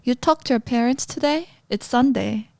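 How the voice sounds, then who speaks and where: A woman co-hosting a casual podcast who teases her partner and laughs a lot. Her voice sits a little high. The recording is close and dry. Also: normal speed, creaky, darkish tone, dry recording. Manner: teasing, laughs often, asks questions. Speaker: podcast co-host.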